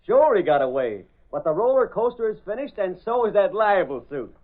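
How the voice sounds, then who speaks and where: A man's voice: high-pitched, very animated, a little fast. A man playing a cheerful, breezy newspaperman with slangy, upbeat delivery in old-time radio drama style. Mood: pleased, breezy. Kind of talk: 1930s-40s radio acting. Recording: tinny, band-limited old radio recording.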